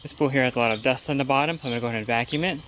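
A man's voice: mid-range voice, very fast, background noise. A pool service technician talking through his weekly routine in a plain, matter-of-fact instructional voice-over.

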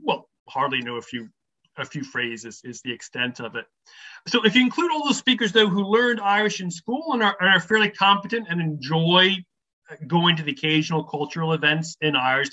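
A man's voice: fairly high pitch, pushed and bright. A middle-aged man, a professor, explaining things at length in an interview, thoughtful and scholarly, with many ums and restarts. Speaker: professor of English, podcast guest. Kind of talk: relaxed explanatory interview talk. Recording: slightly muffled lo-fi sound.

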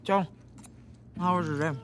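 An actress playing a teasing, supportive wife in her thirties in a video game, conversational and gently sardonic. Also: on the low side, a little slow, close to an everyday voice. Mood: relaxed. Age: 30s. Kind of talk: naturalistic video game cutscene acting.

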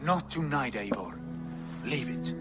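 Man playing a slow-speaking, commanding Viking lord. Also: mid-range voice, expressive, close to an everyday voice. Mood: firm. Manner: authoritative, deliberate. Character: commanding, weary Viking elder brother. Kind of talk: video game cutscene acting. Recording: music behind the voice.

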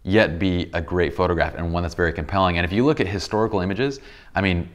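A man in his thirties with a deep, slightly tired voice, explaining photography basics in a casual studio tutorial. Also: quick pace. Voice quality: deep voice, a bit tired from a long day. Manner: enthusiastic, explains with many examples and restarts. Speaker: photography instructor. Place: bright indoor studio set with a sofa.

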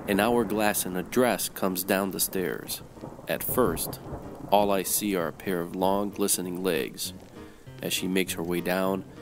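A man playing a gruff, wry, world-weary supernatural private detective, narrating in old-time noir radio style. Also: deep voice. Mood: smitten, wry. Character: hard-boiled, wisecracking male supernatural private detective.

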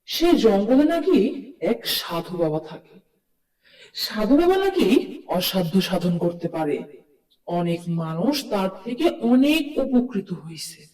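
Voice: mid-range voice, sing-song, takes it slowly, darkish tone. A performer voicing a brave, earnest cartoon townsman with pleading emphasis, in a distant recording with long reverb. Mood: worried, hopeful. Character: brave, earnest townsman rallying his frightened friends. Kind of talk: cartoon story dialogue.

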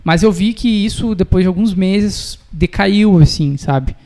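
A man's voice: high voice, a little slow, darkish tone. A young man with a southern Brazilian accent chatting casually as a guest musician into a close podcast mic. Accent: southern Brazilian (gaúcho). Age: young adult. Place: small indoor podcast studio. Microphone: close studio mic on a boom arm.

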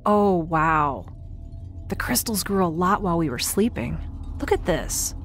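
A woman's voice: fairly low pitch, expressive, a little slow, faint music behind. An actress playing a curious young woman scientist aboard a spaceship in an audio drama, talking in an awkward, wry, hesitant way. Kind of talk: audio drama acting, conversational. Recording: clear ambient noise behind.

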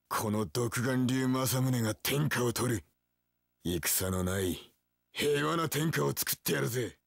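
A cocky young samurai warlord for a video game. He drawls slowly with rough swagger, swinging widely in pitch and tossing in English catchphrases. The sound is dry and close. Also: dry recording, heavily acted. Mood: cool, confident. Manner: swaggering, rough tough-guy speech peppered with English catchphrases. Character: cocky, cool young male samurai warlord, swaggering one-eyed dragon. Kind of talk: video game battle voice lines.

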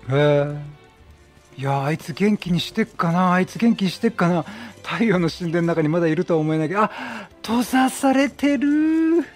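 A man with a fairly high, very lively voice plays a video game and talks his way through it. He reacts out loud and reads on-screen text, with faint music behind him. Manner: talkative, reactive, exclaiming. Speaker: let's play streamer. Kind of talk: chatty game commentary, reading game text aloud.

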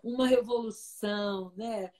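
An effusive woman in her sixties from Brazil chats through a laptop webcam, hosting a live interview from her home studio. Her voice sits a little high, and she talks in a lively, gushing way. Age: 60s. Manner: effusive, chatty, quick to laugh. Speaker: interview host. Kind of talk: casual live video chat. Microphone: laptop webcam, video call.

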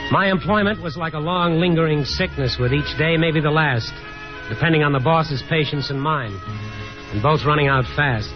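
An actor playing a weary, sardonic, restless young son in 1950s radio drama style. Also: male voice, fairly high pitch, normal speed, music in the background, lightly acted. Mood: weary, reflective. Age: young adult. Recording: dull 1950s radio broadcast.

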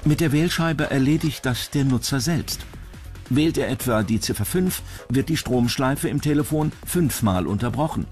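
A man's voice: medium pitch, lively intonation, moderate pace. A man reading scripted narration as a documentary narrator. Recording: music bed under the voice. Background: background music.

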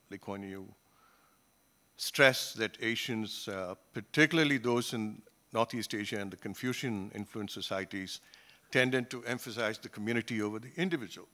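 An older man gives a panel talk at a conference table, speaking into a gooseneck microphone. His delivery is measured and thoughtful, often pausing on fillers and restarting his phrases. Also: mid-range voice, bright, forceful tone. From Singapore. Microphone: table gooseneck mic.

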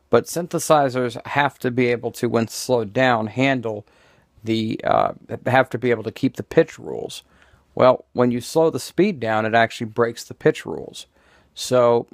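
A man's voice: mid-range voice, dry recording. Man explaining informally, dry close sound. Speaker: video creator. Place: small room at home.

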